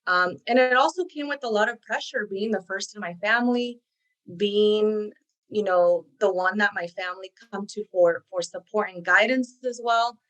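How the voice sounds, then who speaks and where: A woman's voice: mid-range voice, normal speed, a bit bright, low-quality recording. A woman whose first language is Spanish, a career coach guest speaking earnestly and reflectively in a podcast interview.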